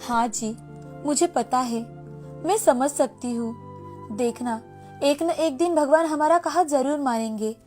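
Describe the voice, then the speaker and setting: Woman as supportive wife and mother, high-pitched, reassuring, soft-spoken, storybook tale, background music. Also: a little slow. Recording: music playing behind the voice.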